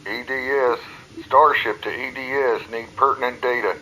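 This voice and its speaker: A man's voice: mid-range voice, fairly even intonation, moderate pace, sharp tone, a little hiss or hum, low-quality recording. A man playing a businesslike starship radio officer in a radio drama, terse and official. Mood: insistent.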